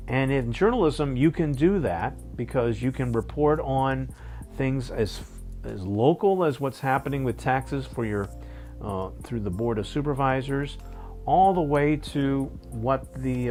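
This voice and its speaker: A middle-aged man giving thoughtful interview answers in a measured, halting way, often correcting himself. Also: mid-range voice, darkish tone.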